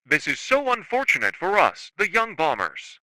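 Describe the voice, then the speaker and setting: Man playing earnest young web-series hero, very lively pitch, dry close sound. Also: normal speed, no echo, heavily acted, poor audio. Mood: grave, urgent. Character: earnest young male bomber hero leaving grave messages. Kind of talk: amateur web series voice acting.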